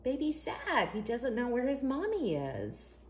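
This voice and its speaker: A woman's voice: fairly high pitch, huge pitch swings, unhurried, a little mellow, a little hiss or hum. A woman hosting a children's storytime, reading a picture book aloud expressively in a sing-song, question-asking way. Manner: sing-song, asks the young listeners questions.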